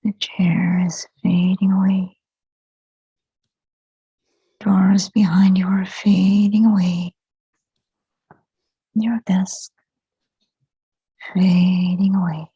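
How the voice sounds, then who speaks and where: Whispery woman guiding meditation very slowly. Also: mid-range voice, flat delivery, no room sound. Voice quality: whispery, breathy, soft. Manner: slow, gentle, short phrases with long pauses. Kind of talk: guided meditation, hypnotic and soothing. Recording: dull, muffled lo-fi sound.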